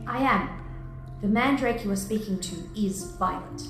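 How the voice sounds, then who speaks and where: An actress playing a calm, enigmatic celestial being in an audio drama, speaking in a measured, cryptic, assured way. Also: female voice, mid-range voice, natural voice. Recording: echoey, with music underneath. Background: music.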